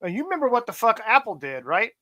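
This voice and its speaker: Profane high-voiced ranting man. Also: big pitch swings, fast talker, bright, forceful tone. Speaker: podcast host. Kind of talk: casual political chat.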